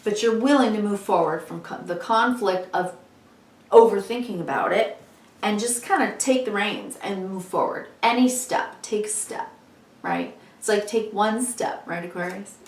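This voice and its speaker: A woman giving a weekly tarot reading in a chatty, encouraging way, full of "you know"s, her voice a little hoarse from a long day of talking. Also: medium pitch, normal speed. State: losing her voice, slightly hoarse. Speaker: tarot reader. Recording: echoey room, mic at a distance.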